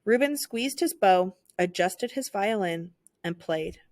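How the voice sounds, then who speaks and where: Expressive woman reading a children's story. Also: mid-range voice, takes it slowly, noisy background. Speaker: storyteller reading a picture book aloud.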